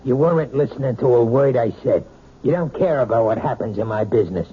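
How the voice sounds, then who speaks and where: A man's voice: mid-range voice, a little fast, breathy edge. An actor playing a bullying, short-tempered husband in a 1970s radio drama, blunt and scornful as he badgers. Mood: scornful, angry. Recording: noisy, dull old radio broadcast.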